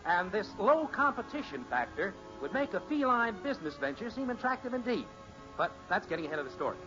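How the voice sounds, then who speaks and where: A man's voice: high-pitched, very animated, brisk, music in the background. A man narrating an old radio show in a wry, mock-grandiose, formal announcer style. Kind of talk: scripted 1950s radio narration. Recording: dull old radio recording.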